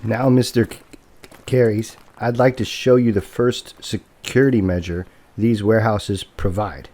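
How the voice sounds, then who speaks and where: A man's voice: fairly low pitch, fairly even intonation, a little slow, darkish tone. A man playing a formal, coolly courteous property broker who stutters, in an audio drama. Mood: businesslike.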